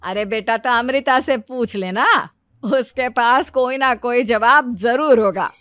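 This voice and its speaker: A woman's voice: on the high side, slow, fairly bright tone, slight background noise. A woman voicing a fond, curious middle-aged mother-in-law who exclaims and questions, in a cartoon family story. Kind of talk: animated moral-story voice acting. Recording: dry studio voice over music.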